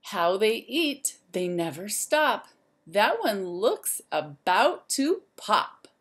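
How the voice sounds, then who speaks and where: Animated woman reading slowly to children. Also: mid-range voice, breathy edge. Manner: slow, sing-song, addressing children directly. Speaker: teacher reading to young children. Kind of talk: expressive children's picture-book read-aloud with asides.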